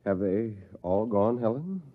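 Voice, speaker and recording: An actor playing a grave, earnest professor with a measured, hesitant manner, in 1950s radio drama style. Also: male voice, lowish voice, sing-song. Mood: worried, subdued. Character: earnest, grave male professor standing up to danger. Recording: muffled 1950s radio recording.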